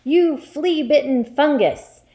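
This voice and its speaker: A woman's voice: on the high side, expressive, normal speed, lightly acted. A woman voicing a huge, bullying headmistress in a children's story, barking orders and insults. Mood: furious. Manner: barking, snapping, shouted commands and insults. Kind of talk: children's storybook character reading.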